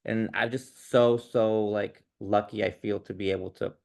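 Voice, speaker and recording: A man's voice: fairly low pitch, level tone, normal speed, creaky, darkish tone. A man guest on a podcast, talking casually and enthusiastically, with many fillers. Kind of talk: casual interview chat.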